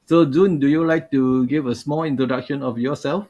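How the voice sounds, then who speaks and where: A man's voice: medium pitch, steady tone, normal speed, mellow, muffled recording. A man hosting a live webinar over a video call, talking loosely and padding his sentences with "uh" and "you know". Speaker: webinar host.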